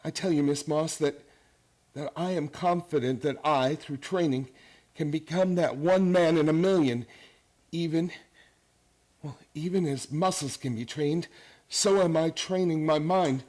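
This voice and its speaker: Male actor as grandiose obsessive man, higher-pitched voice, intense lecturing manner, emphatic repetition, radio drama. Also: moderate pace, a little mellow, close to an everyday voice. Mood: fervent lecturing. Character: obsessive, grandiose man convinced of his mind's powers. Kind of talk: radio play acting.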